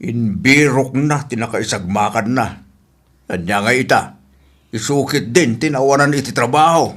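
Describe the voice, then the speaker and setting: A man's voice: medium pitch, normal speed, a bit bright, slightly rough. A man with an Ilocano Filipino accent playing a stern father scolding his wayward daughter in a radio drama. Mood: scolding, ashamed.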